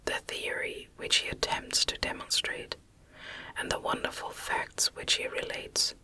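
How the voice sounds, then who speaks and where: A woman reads a classic novel as ASMR in a breathy, unvoiced whisper, with soft, measured storytelling. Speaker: ASMR audiobook reader.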